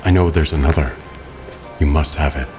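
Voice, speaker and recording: An actor playing a stern, brooding, protective masked vigilante in an audio drama, speaking in a terse, controlled, grave way with music behind him. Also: male voice, extremely low pitch, steady tone, moderate pace. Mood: calm, terse.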